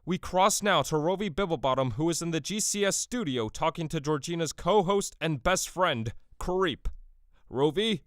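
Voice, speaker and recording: A man's voice: on the high side, lively intonation, normal speed, dry recording, studio quality, close to an everyday voice. A man playing a pompous, formal internet news anchor in an audio comedy parody. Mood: pompous, solemn.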